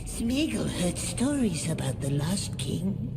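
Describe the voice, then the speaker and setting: An actor playing a small, wretched, fearful creature with a raspy, throaty voice, wheedling and talking of itself as "we" in broken grammar in a video game. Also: mid-range voice, big pitch swings, slow pace, over loud music, heavily acted. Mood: wistful. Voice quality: raspy, throaty, whispery at times. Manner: speaks of himself as "we" and in third person, broken grammar. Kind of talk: video game character acting.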